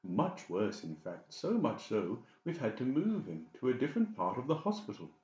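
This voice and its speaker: A man's voice: mid-range voice, sing-song, normal speed, a little mellow, reverberant, muffled recording, pitched above the performer's own voice, close to an everyday voice. A man voicing a falsely sweet, scheming psychiatrist with exaggerated politeness in a dramatised audiobook. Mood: feigned concern.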